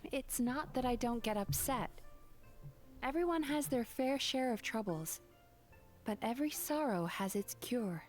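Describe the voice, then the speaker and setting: Composed, high-voiced young therapist. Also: female voice, lively intonation. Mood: calm, reasoned. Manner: measured, polite, formal phrasing. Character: composed, gentle-mannered young woman therapist, quietly principled. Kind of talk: scripted video game dialogue. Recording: game music behind the voice.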